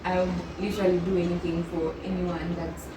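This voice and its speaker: A woman's voice: on the low side, little pitch movement, brisk. A young woman talks with a close friend, affectionate and emphatic, and she keeps leaning on "like" and "literally." Her voice is picked up from a distance in a reverberant space, with a noisy background. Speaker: guest friend. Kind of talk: casual chat. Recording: roomy, distant mic, noisy.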